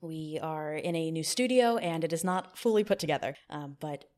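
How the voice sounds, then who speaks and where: A woman podcast host running a mock-stern job interview in a deadpan manner, recorded in an echoey new studio. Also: deep voice, moderate pace.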